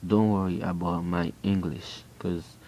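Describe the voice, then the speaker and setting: A man from Haiti with a Haitian accent, telling a personal faith testimony in an earnest, halting way with frequent restarts. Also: sits low, little pitch movement, warm tone, slight background noise. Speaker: person giving a religious testimony.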